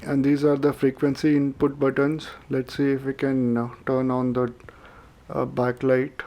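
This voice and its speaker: A man's voice: mid-range voice, monotone, unhurried, a little mellow. A man reviewing a radio, talking hesitantly and methodically with many filler sounds, recorded indoors at a desk. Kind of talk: product review and unboxing. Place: indoors at a desk with a tripod camera.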